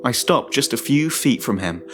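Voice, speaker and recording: A man giving a dramatic, suspenseful first-person narration of a horror story, in a deliberate manner. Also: mid-range voice, animated. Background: music.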